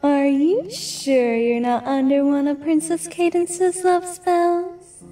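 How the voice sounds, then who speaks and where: A woman's voice: sits high, slow pace, mellow. A woman playing a sweet, teasing young mare in a fan audio drama, coy and affectionate with a sweetheart. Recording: distant, music underneath.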